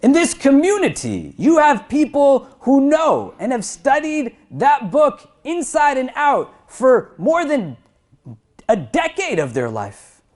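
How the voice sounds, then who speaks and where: A man who is an imam, giving a sermon to a crowded prayer hall. He builds through lists and questions, rising in pitch and loudness, then drops low to land each point. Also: wildly swooping pitch, slow pace. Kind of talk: Friday sermon.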